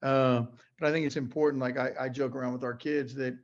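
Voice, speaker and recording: Loud gravelly basketball coach. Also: male voice, mid-range voice, fairly quick, dull audio. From West Virginia. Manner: energetic, many uhs and repeated words. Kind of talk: casual interview answers.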